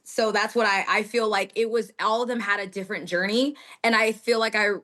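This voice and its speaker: A woman's voice: mid-range voice, steady tone, fairly quick, fairly bright tone. A young woman from the United States, a guest in a casual interview, talking in a chatty way with lots of laughs and filler words. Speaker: guest wrestler.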